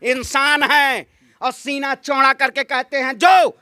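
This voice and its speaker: A man's voice: extremely high pitch, steady tone, takes it slowly, bright, forceful tone, no echo. A man giving an impassioned political interview in a combative, declamatory manner, repeating phrases for emphasis. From Ayodhya, Uttar Pradesh, India. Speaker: political activist being interviewed.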